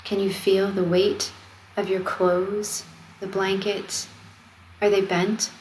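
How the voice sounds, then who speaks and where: A woman leading a guided meditation, speaking in a gentle, soothing, conversational way as she gives simple instructions. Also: mid-range voice, slow, poor audio. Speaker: meditation guide, wellness coach. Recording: steady noise of ocean waves. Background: ocean wave sounds.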